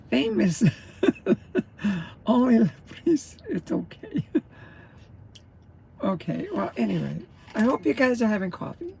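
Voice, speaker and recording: A man's voice: sits high, darkish tone. A man, a truck driver, rambling through a casual morning livestream and greeting viewers as he chews his breakfast. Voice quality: gravelly. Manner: chewing while talking.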